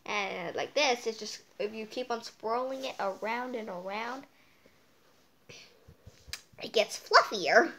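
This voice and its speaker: A woman's voice: fairly high pitch, slow, pauses often, a bit bright, a little hiss or hum. A woman hosting a casual storytime read-aloud, drifting off into playful, whimsical asides as she goes.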